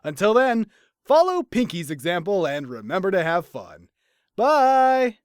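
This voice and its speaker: A man giving an enthusiastic, animated scripted review as a cartoon episode commentator, with instrumental music behind him. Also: high-pitched, unhurried, smooth, no echo, clean studio sound. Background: instrumental background music.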